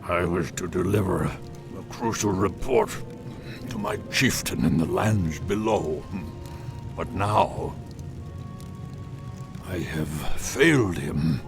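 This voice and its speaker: A dying old orc warrior whose deep, rough voice drags out each word very slowly. He sounds weak and heavy with shame, in a game cutscene with loud orchestral music behind. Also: exaggerated voice. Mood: dying regret. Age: older.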